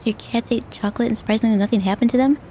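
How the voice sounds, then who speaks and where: A young woman streaming a game, chatting softly and casually with viewers, often repeating words as she goes. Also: on the high side, steady tone, slight background noise. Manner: soft-spoken, halting, repeats words. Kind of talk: casual gaming stream chat.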